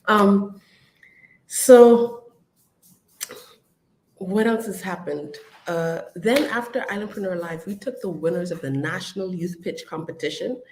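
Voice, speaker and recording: An adult host giving a casual live-stream update to followers, chatty and upbeat, with frequent ums and shout-outs. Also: medium pitch, measured pace, pauses often, slight background noise, rough recording. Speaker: business community host.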